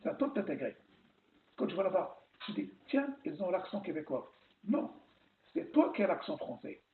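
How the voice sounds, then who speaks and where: A man from France giving casual advice about settling abroad, talking in an animated way and often restarting his sentences. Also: high-pitched, normal speed. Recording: echoey room, phone-like lo-fi sound, faint hiss.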